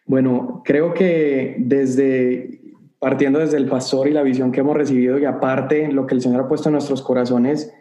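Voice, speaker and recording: A youth pastor from Colombia answering interview questions in an earnest, animated way. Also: male voice, mid-range voice, normal speed. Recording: roomy echo.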